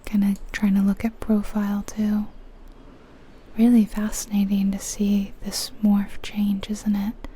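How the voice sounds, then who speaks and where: A woman whispering softly and breathily in an ASMR style, gently musing aloud as she comments on a video game. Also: medium pitch, monotone, unhurried, slight background noise.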